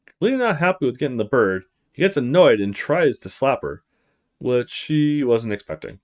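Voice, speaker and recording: A man's voice: medium pitch, sing-song, moderate pace. A man narrating and reading aloud a visual novel as a let's play host.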